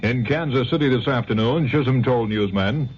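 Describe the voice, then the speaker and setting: A man reading the hourly news as a network anchor, in a crisp, formal delivery. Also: mid-range voice, normal speed, a little mellow. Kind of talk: newscast reading. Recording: dull 1970s radio broadcast with faint hum.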